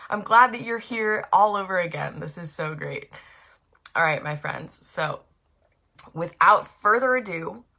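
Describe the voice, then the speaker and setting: Woman author doing kids story time, bright punchy voice a bit on the low side, animated and encouraging. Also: unhurried, gravelly. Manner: animated, encouraging, asks the listeners questions. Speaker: author reading a storybook to children. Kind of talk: children's story time read-aloud.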